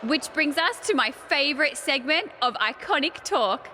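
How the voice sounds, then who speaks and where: An actress playing a smug Australian wrestler and talk show co-host, sarcastic and condescending. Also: female voice, high-pitched, lively intonation, moderate pace, bright, forceful tone, slight background noise. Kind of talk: theatrical wrestling promo.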